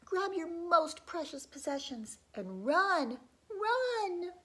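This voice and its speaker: An excitable storybook villager, acted out by a woman, calls out loudly and urgently. The voice jumps up high, well above ordinary talk. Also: slow, heavily acted. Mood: alarmed. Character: panicked shouting townspeople, excitable and loud.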